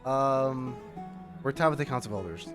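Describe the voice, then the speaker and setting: A man's voice: medium pitch, expressive, normal speed. A man livestreaming a game in a casual, rambling, chatty way, reacting and reading text aloud. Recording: faint music behind voice. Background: faint game music.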